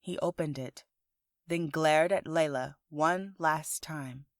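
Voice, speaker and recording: A woman's voice: low voice, slow, no room sound, clean studio sound. A woman reading scripted audiobook narration as the storyteller of a fiction podcast.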